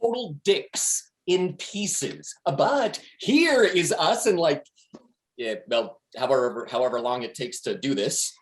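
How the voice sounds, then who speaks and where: A man's voice: a little high, lively intonation, takes it slowly, a little hiss or hum. A man playing a cocky, foul-mouthed slacker schemer in a comedy table read over a video call, talking in pushy, emphatic slang. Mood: pushy, scheming. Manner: slangy, emphatic, swinging between hushed and pushy.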